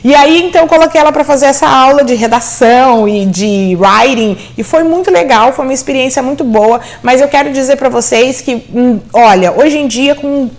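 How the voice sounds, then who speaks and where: A woman's voice: high voice, moderate pace, hardly any pauses. A middle-aged immigrant mother and host, encouraging parents about homeschooling, mixing English words into her talk. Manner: warm, encouraging, mixes English words into Portuguese.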